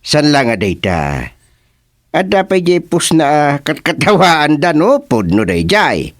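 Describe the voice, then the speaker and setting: A man's voice: on the high side, wildly swooping pitch, measured pace, dry recording, studio quality. A man acting an opinionated, talkative townsman in a radio comedy drama. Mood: amused, sarcastic. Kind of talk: radio serial comedy drama dialogue in Ilocano.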